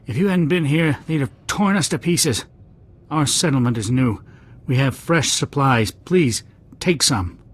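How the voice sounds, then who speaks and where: An actor playing a colonist settler who is shaken and earnestly thankful. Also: male voice, medium pitch, animated, a little slow. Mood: grateful. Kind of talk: video game cutscene.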